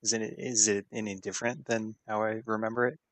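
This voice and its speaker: A man's voice: fairly low pitch, level tone, brisk, dry recording. A man talking casually as a player at a tabletop role-playing game.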